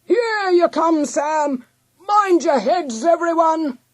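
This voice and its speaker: Woman doing a bossy, hearty mouse leader, high-pitched. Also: animated, slow pace, no echo, studio quality, full character voice. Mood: roaring, excited. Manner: commanding, rallying. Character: bossy, hearty father mouse leading a hauling team. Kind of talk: storybook character voice.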